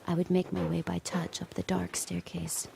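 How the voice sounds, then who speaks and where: Audio drama lead: guarded, fearful handmaid, hushed and gravelly, confiding. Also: female voice, on the low side, monotone, normal speed, a little hiss or hum. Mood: intimate, tense. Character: fearful, guarded captive handmaid telling her story. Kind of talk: audio drama acting and narration.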